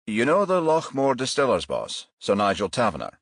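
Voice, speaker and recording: A man voicing a businesslike senior police chief inspector with a measured, official manner in an audiobook. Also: medium pitch, wildly swooping pitch, no echo, clean studio sound. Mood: grave, businesslike. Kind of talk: audiobook character voice.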